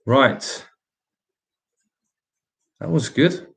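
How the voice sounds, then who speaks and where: A man's voice: medium pitch, extremely slow, gravelly, a bit bright. A man with a slightly British accent hosting a casual livestream, putting emphatic rhetorical questions to his viewers and laughing often between remarks. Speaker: livestream host.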